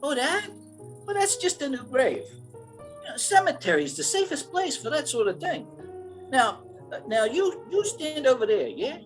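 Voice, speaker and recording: A woman's voice: high-pitched, big pitch swings, faint music behind. A woman playing a dim, insecure gangster gunman in a radio play, hesitant and stammering. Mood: casual menace. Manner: hesitant, stammering, slow-witted. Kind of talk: 1940s radio comedy-thriller acting.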